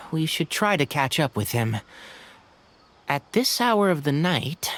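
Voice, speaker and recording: Calm polite young ranger, lively pitch, faint hiss. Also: male voice. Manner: polite, measured, organizing. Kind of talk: game voice acting.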